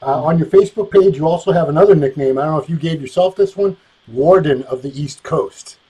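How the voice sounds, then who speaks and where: A man's voice: on the high side, fairly quick, warm tone, husky, poor audio. A man hosting an interview, rambling and loose, calling himself scatterbrained. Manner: rambling, self-described scatterbrained. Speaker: interview host. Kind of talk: casual interview.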